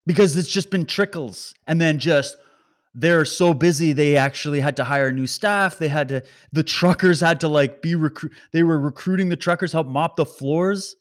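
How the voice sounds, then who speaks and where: A man hosting a political commentary stream, talking straight to his viewers with animated sarcasm. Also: fairly high pitch, moderate pace. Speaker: commentary host.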